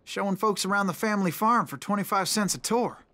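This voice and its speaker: A woman's voice: medium pitch, brisk, a bit bright, close to an everyday voice. A woman playing a curious, earnest young saloon listener in a Western video game, with an American accent. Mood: curious, disappointed.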